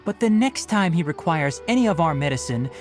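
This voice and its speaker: An actor voicing a young male forest ranger chief in a video game, a dry, measured, gently scolding mentor. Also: mid-range voice, lively intonation, music in the background, lightly acted. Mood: wry exasperation.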